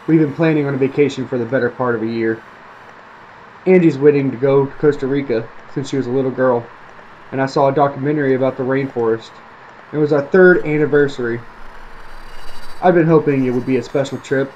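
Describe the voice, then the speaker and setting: A man's voice: medium pitch, a little fast, pauses often, a little mellow, lo-fi sound, a little hiss or hum, natural voice. A man reading the part of a frustrated young father, muttering and exasperated. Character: frustrated young father on a ruined family vacation.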